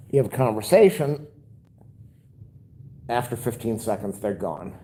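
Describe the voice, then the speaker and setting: A man's voice: lowish voice, warm tone. A man with a nasal, stuffed-up voice, rambling and grumbling through a casual livestream. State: stuffed-up nose. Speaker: livestream host.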